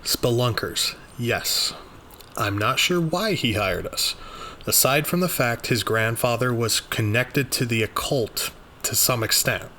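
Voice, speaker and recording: A man's voice: medium pitch, unhurried, pushed and bright, a little hiss or hum. A man playing an earnest, pedantic occult investigator, narrating his journal in the first person. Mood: exasperated. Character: earnest, exasperated, bookish male occult investigator of 1920s.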